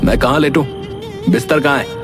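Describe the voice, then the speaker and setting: A spoiled young prince acted by a man in a cartoon fairy tale, speaking in a petulant, imperious way with a mid-pitched male voice. Clear music runs underneath. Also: fairly even intonation, brisk, close to an everyday voice. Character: spoiled, haughty young prince, whiny and demanding. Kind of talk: Hindi animated moral story dialogue.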